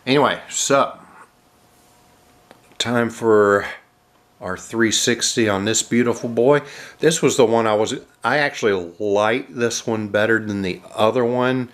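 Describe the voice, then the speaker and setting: A man with a cold, sounding nasal, casually narrating an unboxing of model airplanes with many filler sounds and throat clearing. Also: medium pitch, measured pace.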